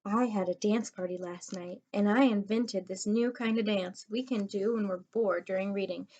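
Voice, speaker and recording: A woman voicing an excitable, chatty little boy in a storybook reading. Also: mid-range voice, moderate pace, lo-fi sound, close to an everyday voice. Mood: eager.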